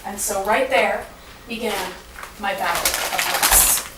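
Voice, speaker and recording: Female spoken-word performer, theatrical rhyming storyteller, deliberate delivery, roomy sound with clear background noise. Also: medium pitch. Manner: dramatic, deliberate storytelling with singsong rhyme. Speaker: monologue performer. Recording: echoey, noisy room from far off.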